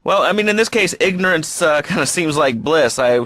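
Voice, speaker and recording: Bright-voiced sardonic American radio host. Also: male voice, on the high side, expressive, a little fast, no room sound. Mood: bemused. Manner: hesitant, wry, incredulous. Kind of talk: comedic talk radio interview.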